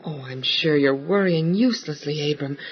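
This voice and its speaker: An actress playing the emotional, pleading wife of a patriarch in a dramatized Bible audio drama. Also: female voice, low-pitched, normal speed, muffled recording, soft background music, natural voice. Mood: doubtful, earnest.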